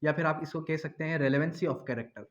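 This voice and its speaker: A man giving an explanatory law lecture, repeating key phrases slowly and asking rhetorical questions in a didactic way. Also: on the high side. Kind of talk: explanatory law lecture, mixing in English terms. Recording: dull, muffled lo-fi recording.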